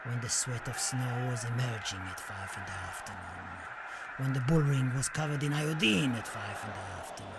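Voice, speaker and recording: A man's voice: medium pitch, voice pushed down, close to an everyday voice. A man playing an impassioned, grieving Spanish poet in a radio play, reciting in a solemn, dramatic manner. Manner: solemn, dramatic, swelling from hushed to declaimed. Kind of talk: theatrical radio play monologue and poetry recital.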